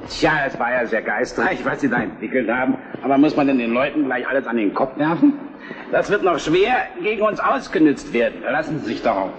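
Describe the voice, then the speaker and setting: Male actor as worried political confidant, dry, cautioning, slightly high, dull radio drama sound, crowd behind. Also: lively intonation, brisk, pauses often, close to an everyday voice. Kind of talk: conversational historical radio drama acting. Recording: muffled, with crowd murmur behind.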